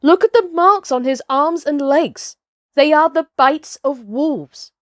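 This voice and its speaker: A woman's voice: sits high, slow pace, no echo, clean studio sound, voice pushed up. A woman voicing a clever, dismissive male village priest in a children's storybook, declaring things to a crowd. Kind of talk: children's storybook character reading.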